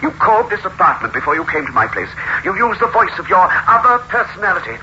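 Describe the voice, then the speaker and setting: An actor playing an anguished, agitated boyfriend in an old-time radio thriller, speaking and narrating with earnest melodramatic intensity. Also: male voice, sits high, sing-song, fast, bright, forceful tone, exaggerated voice. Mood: accusing, agitated. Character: anguished, agitated boyfriend telling a murder mystery. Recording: noisy, muffled old radio recording.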